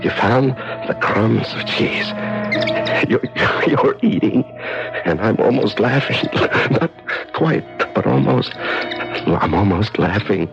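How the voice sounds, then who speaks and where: Halting, gravelly lonely prisoner. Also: male voice, fairly low pitch, exaggerated voice. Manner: halting, repeating words, murmuring to a pet. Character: lonely man held in a dark cell, tender with a mouse. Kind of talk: old radio drama acting. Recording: dull old radio recording with loud music.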